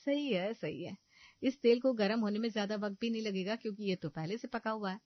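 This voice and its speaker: A scheming young daughter-in-law from a storybook tale, acted by a woman, sugary to her elders and pleased with herself. The pitch is middling. The sound is dry and slightly muffled. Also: brisk, no echo, close to an everyday voice. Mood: smug, scheming. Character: scheming, self-satisfied young daughter-in-law cutting corners in the kitchen.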